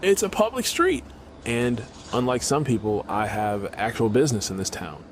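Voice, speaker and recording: A man's voice: medium pitch, animated, moderate pace, a little hiss or hum, natural voice. An actor playing a smug, privileged young man in a noir audio drama, casual and dismissive in his speech. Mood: scornful. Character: Dylan, smug rich young male antagonist.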